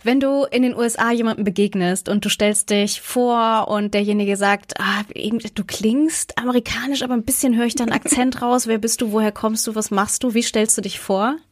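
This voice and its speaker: A woman's voice: fairly high pitch, steady tone, brisk, few pauses, no room sound, clean studio sound. A woman host interviewing a guest, asking long, friendly framed questions.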